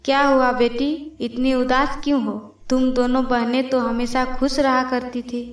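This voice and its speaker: A woman plays a kindly enchanted tree in a cartoon fairy tale. The voice is high, even and reassuring, with a roomy ring around it. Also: unhurried, recorded from a distance. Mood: concerned, kindly. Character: kindly magical talking tree, motherly and reassuring.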